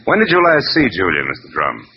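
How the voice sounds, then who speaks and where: An actor playing a hardboiled, world-weary private detective in old American radio noir style, with a wry, clipped delivery. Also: male voice, low voice, wildly swooping pitch, a little fast, a little hiss or hum, full character voice. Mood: probing, businesslike. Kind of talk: 1940s-50s American radio noir acting, narration. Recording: dull vintage radio recording, music behind.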